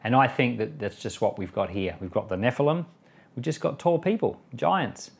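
A man's voice: mid-range voice, lively intonation, normal speed, gravelly. A man speaking as a pastor, giving informal Bible commentary and prayer in an earnest, explanatory, conversational way. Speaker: pastor and Bible podcast host.

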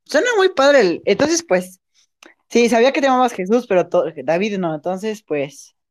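A woman's voice: medium pitch, animated, normal speed. A woman with a Mexican accent doing a casual, improvised interview in slangy, informal language.

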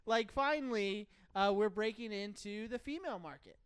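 A man's voice: high voice, moderate pace, clean tone, no echo. A man from Texas hosting a podcast in a casual, joking, playful way. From Texas, United States. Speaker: podcast host. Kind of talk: casual chat.

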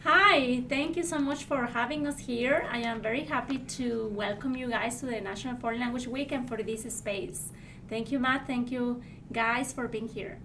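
A woman, a Spanish teacher, thanking her hosts warmly. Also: fairly high pitch, normal speed, noisy background.

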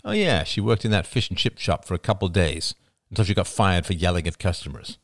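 A man voicing a calm adult son who answers in a low, measured, reluctant way in an audiobook. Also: no room sound, studio quality. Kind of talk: audiobook character voice.

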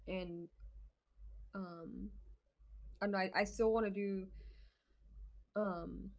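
A young woman in her twenties, a guest on a podcast, answering in a hesitant way with many pauses and restarts. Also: medium pitch, fairly even intonation, vocal fry. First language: English. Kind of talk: casual interview chat.

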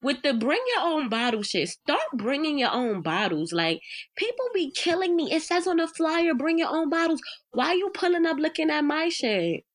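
Stuffy-nosed New woman, lively. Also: sits high, normal speed. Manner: laughing, slangy, animated. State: stuffy nose. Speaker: podcast co-host. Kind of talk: casual chat.

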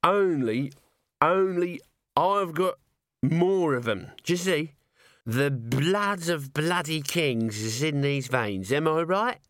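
A man voicing a drunk, swaying male watchman who boasts of his noble ancestry, in slurred, drawn-out speech. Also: a little high, lively intonation, a bit bright, dry recording, studio quality, pitched above the performer's own voice. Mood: drunk boasting. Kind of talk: audiobook character voice.

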